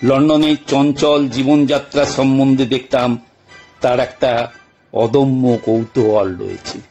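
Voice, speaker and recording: A man narrating an audio story in the first person, with theatrical, deliberate phrasing and frequent pauses. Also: mid-range voice, steady tone, a little mellow, highs cut off. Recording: music bed behind narration.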